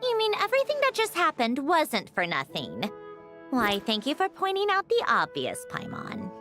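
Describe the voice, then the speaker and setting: A woman playing a proud, aloof young woman in a video game, speaking in a dry, measured, haughty way. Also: high-pitched, huge pitch swings, over music, exaggerated voice. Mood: sardonic. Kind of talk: video game character acting.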